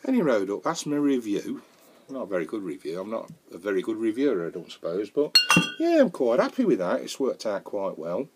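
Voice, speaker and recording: A man's voice: on the low side, wildly swooping pitch, fairly quick, slight background noise. An older man in his sixties with a Northern English accent, chatting casually in a jokey, rambling way with fillers and mild swearing, filmed on an action camera in his wooden garden shed. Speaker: home brewing vlogger. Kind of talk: casual chatty product review. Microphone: action camera close up.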